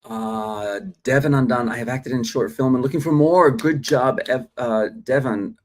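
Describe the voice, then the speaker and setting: A man's voice: mid-range voice, normal speed. Chatty male livestream host from Australia. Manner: chatty, reads viewer comments, frequent fillers. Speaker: host of a live acting stream. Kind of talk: casual livestream chat. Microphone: phone or desk mic, livestream.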